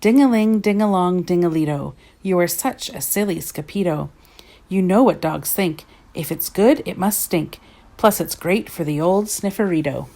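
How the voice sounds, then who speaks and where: A woman's voice: medium pitch, lively intonation, normal speed, few pauses, natural voice. A rowdy little male Chihuahua from a children's storybook, acted out by a woman. He is playful and cheeky, relishing mock-Spanish nonsense words. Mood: teasing singsong.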